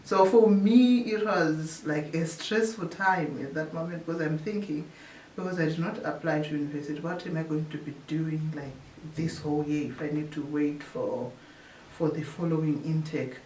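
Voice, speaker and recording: A woman from Zimbabwe, a researcher telling her story in an interview, often pausing and restarting her phrases. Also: sits low, darkish tone. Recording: echoey room, distant mic, faint hiss.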